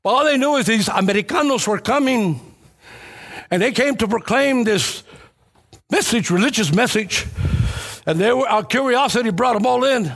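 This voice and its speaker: Man, high voice, church preacher, personal testimony, conversational storyteller, emphatic repeated phrases. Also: lively intonation, moderate pace, fairly bright tone.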